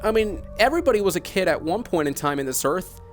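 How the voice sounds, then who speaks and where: A man's voice: sits high, sing-song, fast talker, faint music behind. A man hosting an on-air interview, putting long, challenging questions in an earnest, insistent yet polite and reproachful way. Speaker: broadcaster interviewing by phone.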